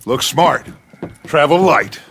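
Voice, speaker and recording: Slow, commanding American outlaw leader. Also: male voice, a little high, expressive, background noise. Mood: grave, measured. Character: charismatic middle-aged male outlaw gang leader, grave and defiant. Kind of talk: dramatic video game acting.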